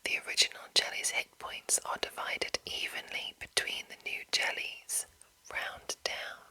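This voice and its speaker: A woman whispering breathily in an ASMR style, reading aloud in deliberate short phrases with soft commentary. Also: low-quality recording. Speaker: ASMR host reading a monster manual.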